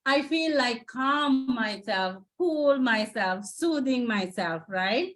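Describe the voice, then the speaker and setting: A woman's voice: fairly high pitch, animated, takes it slowly, a bit bright. A woman from Sri Lanka with a Sri Lankan accent, a Sinhala native speaker, giving an encouraging lecture as a psychotherapist and often tagging her points with "right?". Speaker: psychotherapist presenter. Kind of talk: lecture presentation to students.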